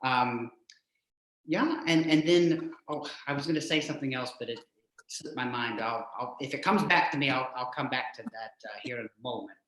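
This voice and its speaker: A man hosting a casual interview, talking in a halting, rambling way and repeating words as he goes. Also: mid-range voice, a bit bright, slight background noise. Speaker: show host, interviewer. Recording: echoey room sound.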